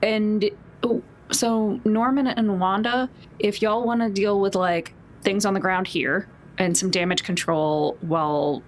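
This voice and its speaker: A woman's voice: medium pitch, fairly even intonation, a little slow, a little hiss or hum. A woman playing a tabletop role-playing game, talking casually in a wry, informal way.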